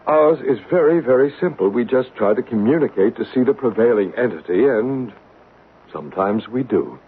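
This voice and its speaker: A man playing a gentle, assured male psychic medium in a 1970s radio drama, explaining patiently. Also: mid-range voice, expressive, normal speed, slightly rough. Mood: reassuring. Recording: narrow radio broadcast with faint hiss.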